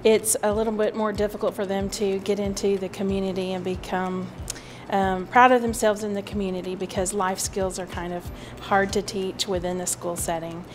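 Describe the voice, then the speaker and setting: A middle-aged woman speaks in a sit-down interview indoors. Her voice is mid-pitched for a woman, with light music underneath. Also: little pitch movement, moderate pace. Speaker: food safety extension agent. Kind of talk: sit-down interview for a promotional video. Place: indoor office or cafe room.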